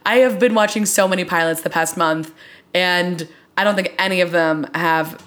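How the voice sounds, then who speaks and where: A woman's voice: fairly low pitch, moderate pace, a bit bright. Young woman podcast host, casual tv review chat, says like a lot, opinionated. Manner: slangy, opinionated, heavy use of "like".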